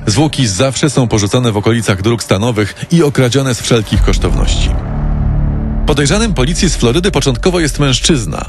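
A man's voice: medium pitch, animated, moderate pace. A man narrating a scripted true-crime radio story in a dramatic storytelling manner. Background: prominent music bed.

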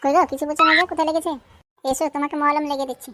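High-pitched melodramatic young cartoon wife, voiced by a woman. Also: steady tone, racing, background noise, natural voice. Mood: coaxing. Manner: melodramatic, nagging. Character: young village wife, dramatic, wailing, scheming, affectionate. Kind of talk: comedy cartoon dubbing. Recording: music behind the voice.

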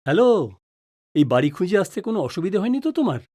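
A woman's voice: on the low side, big pitch swings, very fast, warm tone. A woman playing a wary, joking middle-aged father in an audio drama, with a teasing, exclamatory manner. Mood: friendly, chatty. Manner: teasing, mock-pompous, exclamatory.

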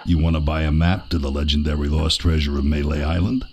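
A man playing a shady, gruff back-alley map peddler, furtive and curt, in a cartoonish video game. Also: a bass voice, steady tone, fast talker, darkish tone, a little hiss or hum. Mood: sly, curt. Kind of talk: cartoonish game character acting.

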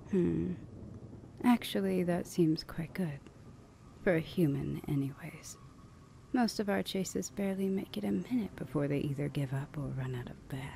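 A woman voicing a stern, weary older vampire sister in a measured, condescending ASMR roleplay, often half-whispering. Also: on the low side, gravelly, mellow, a little hiss or hum. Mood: cool amusement.

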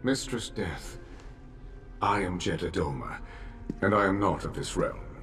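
An actor playing a solemn, scheming demon lord in a video game cutscene, speaking in a grandiose, theatrical way. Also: male voice, low voice, measured pace, a bit bright, faint music behind.

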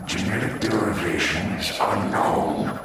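A man's voice: low-pitched, slow pace. A man voicing a cold, imperious alien overseer in a game cutscene, with a formal, commanding manner. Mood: cold, analytical. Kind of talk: scripted game cutscene acting. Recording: very echoey, distant, noisy background ambience.

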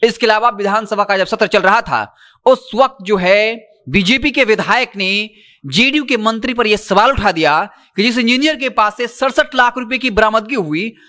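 A man. His voice sits high for a man and has a bright, forceful edge. He speaks emphatically and insistently, straight to the audience on camera. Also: moderate pace. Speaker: news presenter. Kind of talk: news commentary, reporting to camera. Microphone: handheld microphone.

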